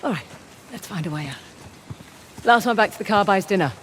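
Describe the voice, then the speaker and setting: A woman's voice: medium pitch, lively intonation, brisk, noisy background, natural voice. A woman playing a daring, wry female treasure hunter in a video game cutscene, with a teasing, flippant manner. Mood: playful, teasing.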